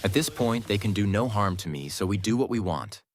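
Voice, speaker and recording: A man's voice: lowish voice, lively intonation, a little fast, a little hiss or hum. A man giving scripted, instructive game guide commentary with a cocky, playful streak. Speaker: gaming guide creator, commentator.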